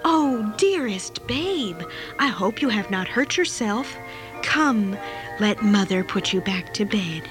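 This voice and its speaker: A woman's voice: a little high, expressive, a little slow, few pauses, music in the background. A woman voicing a feisty, stubborn young girl in a story, with a theatrical manner. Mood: sugary, gentle. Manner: dramatic, swinging from sugary to scrappy.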